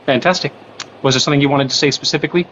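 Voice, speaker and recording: A man hosting a casual livestream and screening callers with quick set questions. He sounds nauseous after recent surgery. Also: fairly high pitch, muffled recording, a crowd in the background. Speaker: livestream host. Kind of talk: casual live-stream chat with callers.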